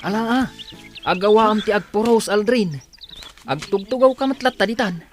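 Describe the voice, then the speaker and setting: Man acting a bossy farm co-worker, higher voice. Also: moderate pace, over music, lightly acted. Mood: urging, scolding. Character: hardworking male farm co-worker, bossy but friendly. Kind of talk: radio drama acting.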